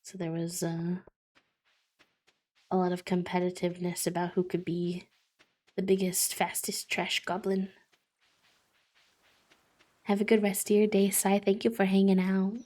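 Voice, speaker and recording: A woman streaming a game, chatting casually and thoughtfully with many fillers, her voice slightly croaky from just waking up. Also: lowish voice, little pitch movement, measured pace, long pauses, warm tone. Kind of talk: casual livestream chat while playing.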